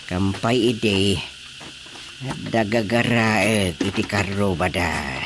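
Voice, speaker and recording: A man with an Ilocano Filipino accent playing a world-weary, principled village chairman in a radio drama comedy, grumbling in a drawn-out way. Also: fairly low pitch, noisy background. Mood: muttering, grumbling.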